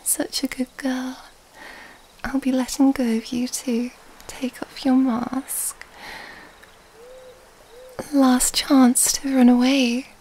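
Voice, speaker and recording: A woman acting as an elegant, predatory vampire lady in an ASMR roleplay. Her breathy, whispered voice sits high but stays soft and dark, unfolding very slowly with teasing, mysterious formality. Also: little pitch movement, a little hiss or hum, rough recording. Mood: seductive, commanding. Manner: slow, teasing, formal old-fashioned wording.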